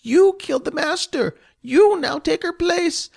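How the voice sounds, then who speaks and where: A man voicing a small, frightened female goblin who pleads and wails in a high voice. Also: animated, unhurried, vocal fry, a little mellow, heavily acted. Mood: distraught pleading.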